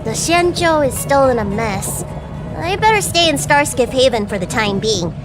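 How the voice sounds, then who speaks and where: A woman's voice: sits high, expressive, moderate pace. A woman playing a proud, bratty young girl healer with a haughty, petulant manner in a video game. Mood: matter-of-fact, perky. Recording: game dialogue over background music.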